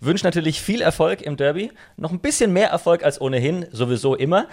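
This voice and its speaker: A man interviewing a football coach in a casual chat, friendly and quick to laugh. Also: fairly high pitch, expressive, darkish tone. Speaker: stadium announcer, interviewer.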